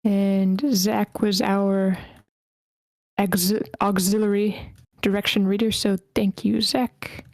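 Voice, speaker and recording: Woman, group host running a casual roll call. Medium pitch, informal and encouraging, dry acoustic. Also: flat delivery, slow pace, a little mellow, no room sound. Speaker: host of a script table read. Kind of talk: casual organizing talk, casting roll call.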